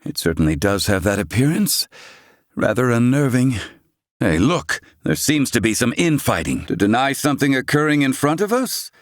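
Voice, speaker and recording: A man's voice: mid-range voice, big pitch swings, moderate pace, dry recording, clean studio sound, close to an everyday voice. A man playing an erudite, haughty yet curious scholar in a video game, speaking in a formal, eloquent way with archaic wording. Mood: intrigued.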